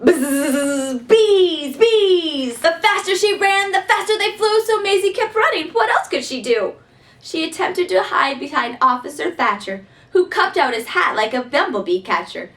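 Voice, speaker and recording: High-voiced woman reading a rhyming storybook. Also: measured pace. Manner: expressive, theatrical. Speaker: storybook reader. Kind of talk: dramatic rhyming children's story narration. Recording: voice somewhat distant from mic.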